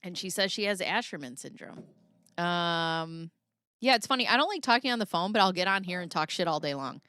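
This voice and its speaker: A woman's voice: lowish voice, animated, fairly quick, sharp tone, clear, resonant tone, dry recording. A young woman with a Midwestern accent hosting a casual livestream, reading her chat aloud, laughing often and swearing freely. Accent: Midwestern American. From American Midwest.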